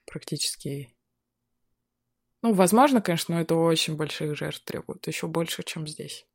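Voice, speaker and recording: Young woman from Buryatia, low voice, dry close sound, ironic casual talker who laughs a lot. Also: moderate pace, slightly rough, no room sound. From Buryatia, Russia. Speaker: guest. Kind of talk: casual chat.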